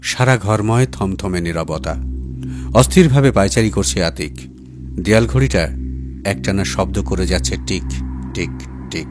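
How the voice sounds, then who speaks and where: A man reading a story aloud as an audiobook narrator, measured and expressive, with pauses. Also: deep voice, soft background music. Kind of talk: dramatic Bengali story reading.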